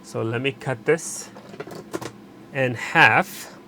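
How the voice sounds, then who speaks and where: A man narrating a hands-on repair tutorial while he works, pausing and correcting himself as he goes. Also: medium pitch, bright, forceful tone, a little hiss or hum. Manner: unscripted, pauses and self-corrects while working. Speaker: electronics repair instructor.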